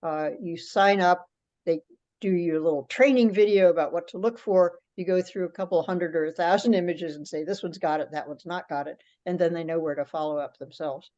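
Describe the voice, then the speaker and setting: A woman's voice: fairly low pitch, fairly quick, dark tone. Woman presenting on a dull-sounding conference call. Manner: explanatory, conversational. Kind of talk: informal spoken presentation on a teleconference. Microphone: teleconference call.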